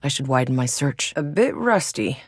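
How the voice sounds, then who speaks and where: A woman's voice: a bass voice, very animated, normal speed, husky, no echo, clean studio sound, exaggerated voice. A woman playing a tough, wry soldier scouting a hostile alien world in a video game, speaking terse, matter-of-fact scripted lines. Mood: matter-of-fact, focused.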